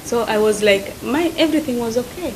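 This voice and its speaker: A woman's voice: a little high, expressive, fairly quick, noisy background. A woman, speaking casually in a group discussion and acting out other people's reactions. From East Africa. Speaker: interviewee.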